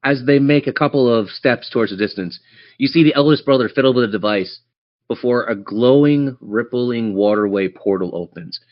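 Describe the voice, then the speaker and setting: A man's voice: mid-range voice, moderate pace. Male tabletop game master, mid register, storytelling on the fly, mildly muffled recording. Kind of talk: improvised tabletop game narration. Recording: slightly muffled voice-chat audio.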